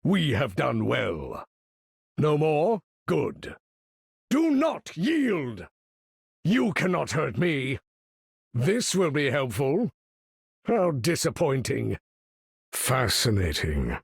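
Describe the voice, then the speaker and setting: An older, imperious supervillain for a video game. His voice is gravelly and husky, swinging dramatically as he issues grand, formal battle commands with pompous weight. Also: medium pitch, wildly swooping pitch, very slow, pauses often, no echo, clean studio sound, full character voice. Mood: disdainful, then triumphant, shouting. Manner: pompous, theatrical, formal diction.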